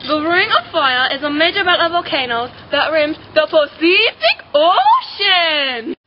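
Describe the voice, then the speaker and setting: A young girl presents a scripted school film. Her voice is very high and swings in a lively way. The homemade recording has clear noise behind her. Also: unhurried, muffled recording. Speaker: student presenter. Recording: very noisy homemade video sound.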